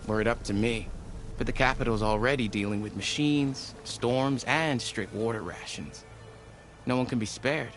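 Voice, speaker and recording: A man playing a grave, dutiful desert tribesman in a video game, speaking deliberately. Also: medium pitch, soft background music, lightly acted. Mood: resigned.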